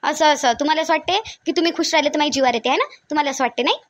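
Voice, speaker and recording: A woman's voice: high-pitched, very fast, no echo, natural voice. A woman voicing a bossy, suspicious older mother-in-law in a cartoon family skit, insistent and repetitive, with a Vidarbha Marathi accent. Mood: aggrieved, scolding. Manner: scolding, insistent, repeats her demands.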